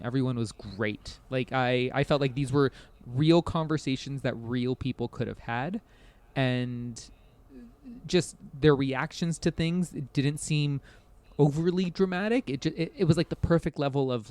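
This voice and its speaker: Male podcast co-host, mid-pitched, casual and conversational, thinking aloud in looping phrases, closely recorded dry sound. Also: darkish tone, no echo. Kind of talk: casual video game discussion.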